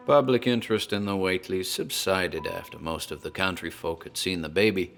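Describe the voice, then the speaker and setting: An actor playing a talkative tavern landlord who tells a grim tale in a deliberate, theatrical horror drama style. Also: male voice, lowish voice. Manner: deliberate, theatrical storyteller, literary wording. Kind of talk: dramatized horror storytelling, scripted narration.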